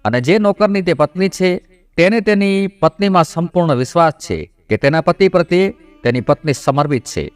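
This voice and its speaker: A man's voice: on the high side, clean tone, no echo, natural voice. A man voicing a serene male god who patiently instructs his consort in a formal, reassuring way, in a devotional story.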